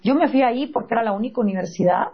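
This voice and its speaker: A woman with a Guatemalan accent in conversation as an interview guest, telling personal stories in an animated, emphatic way. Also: fairly high pitch, racing, slightly rough, highs cut off. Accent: Guatemalan Spanish.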